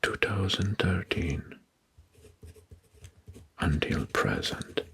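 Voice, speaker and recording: Whispered male voice, very husky and breathy, crisp bright edge, ASMR narration, deliberate repetition. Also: low-pitched, very animated. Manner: deliberate, repeats names and phrases softly. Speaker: host of an ASMR history channel.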